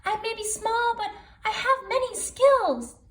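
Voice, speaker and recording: A little old grandmother spider from a children's tale, voiced by a woman. Her voice is teeny and very high, and she is confident despite her size. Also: lively intonation, a little slow, a bit bright, echoey room, far from the mic, heavily acted. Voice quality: small, teeny voice.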